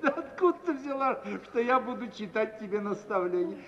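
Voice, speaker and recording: An actor playing a doting, jovial old uncle who fusses and repeats himself in theatrical 1950s Russian radio style. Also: male voice, high voice, lively intonation, brisk, fairly bright tone, in a large hall. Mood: teasing. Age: older. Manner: chuckling, repetitive, avuncular, theatrical. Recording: dull vintage 1950s radio recording.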